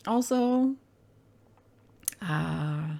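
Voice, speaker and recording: Croaky, gravelly woman gaming host. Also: lowish voice, animated, drawn-out words, mellow. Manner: rambling, hesitant, self-correcting. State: hoarse, croaky throat. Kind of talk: casual gameplay commentary.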